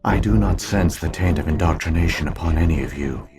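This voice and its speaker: A man playing an ancient alien virtual intelligence in a sci-fi game, speaking in a formal, measured, grave and weary way. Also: rumbling low, a crowd in the background. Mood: solemn.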